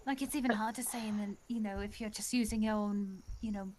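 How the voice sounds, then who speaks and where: A woman's voice: mid-range voice, fairly even intonation, fast talker, darkish tone, a little hiss or hum. A woman roleplaying in character, chiming in with playful remarks.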